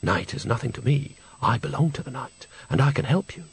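A man voicing a mysterious, pleasant, softly coaxing stranger in an audiobook. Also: medium pitch, a little slow, vocal fry, breathy edge, heavily acted.

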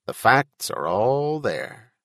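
A man's voice: mid-range voice, sing-song, slow, a bit bright, no room sound, studio quality, heavily acted. A man voicing a self-assured male huckster in an audiobook, offhand and needling. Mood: smug, offhand.

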